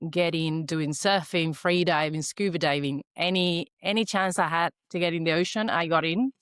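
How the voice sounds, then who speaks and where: Woman, Argentine accent with Australian tinge, friendly, thoughtful, um-filled, smooth resonant voice, dry audio. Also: fairly low pitch, steady tone, normal speed, fairly bright tone, dry recording. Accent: Argentine Spanish with an Australian English tinge. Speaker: guest. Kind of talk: casual interview conversation. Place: remote, on a video call from home.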